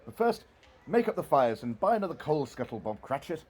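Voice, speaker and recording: An actor playing an elderly reformed miser in an audio drama, with theatrical, exclamatory Victorian speech. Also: male voice, a little high, very animated, brisk, darkish tone, husky. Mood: jubilant. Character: elderly reformed miser, giddy with joy and eager generosity.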